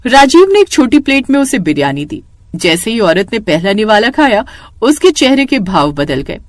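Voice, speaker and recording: A woman's voice: a little high, very animated, moderate pace, no room sound, clean studio sound. A woman narrating a scripted moral story.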